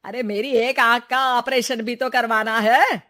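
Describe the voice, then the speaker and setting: A woman's voice: a little high, fairly even intonation, unhurried. A woman putting on a greedy taunting mother-in-law. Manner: taunting, loud, demanding. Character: greedy, nagging middle-aged mother-in-law.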